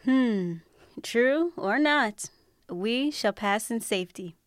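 A woman voicing a calm bold traveler woman. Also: on the high side, slow, no echo, clean studio sound, pitched above the performer's own voice. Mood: confident. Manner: calm, assured.

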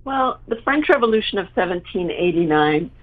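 A woman history professor explaining as a panel guest in a measured, lecturing way. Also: fairly low pitch, lively intonation. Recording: tinny phone-like line.

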